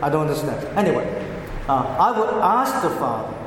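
A pastor with a Cantonese accent preaching a sermon, slowly explaining words one by one. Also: male voice, a little high, big pitch swings, fairly bright tone, slight background noise. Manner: slow, deliberate, explaining word by word. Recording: echoey hall with faint ambience.

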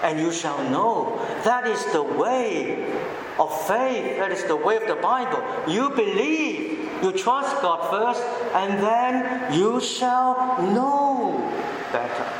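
A man with a Cantonese accent, a native Cantonese speaker, preaching a sermon in short, deliberate phrases in a large church hall. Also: high-pitched, big pitch swings. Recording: echoey hall with background noise.

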